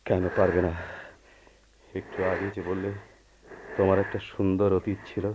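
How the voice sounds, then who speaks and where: An actor playing a steadfast, patient husband in a radio drama, earnest and deliberate. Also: male voice, deep voice, level tone, a little mellow, slight background noise, close to an everyday voice. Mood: insistent. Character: steadfast, patient husband pleading with his wife. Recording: muffled old radio play recording.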